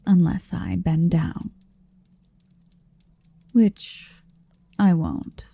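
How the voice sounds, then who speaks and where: An ancient dragoness in human shape, acted by a woman, murmurs in a very dark, velvety voice with a gravelly edge. She is haughty and doting, speaking extremely slowly and breathily as she commands and teases in an intimate ASMR roleplay. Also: on the low side, big pitch swings, no room sound, full character voice. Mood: commanding, amused. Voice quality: breathy, often whispering. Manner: slow, languid, commanding, teasing.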